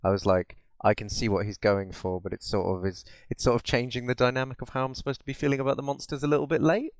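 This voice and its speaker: A man's voice: medium pitch, steady tone, fast talker, no room sound. A man co-hosting a podcast, chatting casually about films in a rambling way. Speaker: podcast co-host. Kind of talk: casual film chat.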